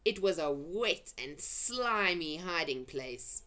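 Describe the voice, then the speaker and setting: A woman reading a children's adventure story aloud as an expressive narrator. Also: on the low side, slow pace. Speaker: storybook reader.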